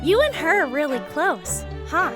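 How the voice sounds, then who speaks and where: A woman voicing a bubbly, sweet, excitable young girl in a fan audio drama. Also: sits high, normal speed, music in the background. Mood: cheerful, admiring. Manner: gushing, excitable, exclamatory. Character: bubbly, sweet, energetic young girl who loves colors.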